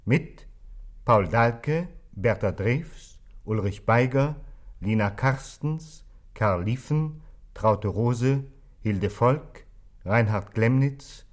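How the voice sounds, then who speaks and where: A man making a formal scripted radio announcement, measured and precise, with pauses between items. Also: medium pitch, expressive.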